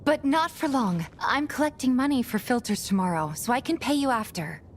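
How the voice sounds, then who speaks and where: An actress playing a friendly, caring young woman in a video game, speaking gently and conversationally with slight hesitations. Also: sits high, animated, brisk, slight background noise. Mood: apologetic, encouraging. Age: young adult. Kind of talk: video game cutscene voice acting. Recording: background music under dialogue. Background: game music.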